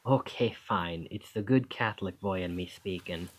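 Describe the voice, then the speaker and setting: A man's voice: low voice, normal speed. A man playing a charming young college student who is secretly a possessive, otherworldly captor, in an intimate, coaxing ASMR roleplay. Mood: friendly, coaxing.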